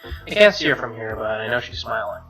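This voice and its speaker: A man reading a visual novel's narration aloud as a let's play host, wry and expressive, over faint music. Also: on the low side, a little fast. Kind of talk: reading game narration with asides. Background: game music.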